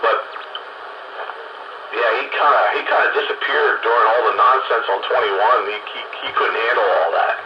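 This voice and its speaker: A man's voice: medium pitch, moderate pace, muffled recording. A middle-aged or older man chatting casually over two-way radio, rambling about technical details like a hobbyist. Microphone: radio transceiver microphone. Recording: noisy, band-limited two-way radio transmission.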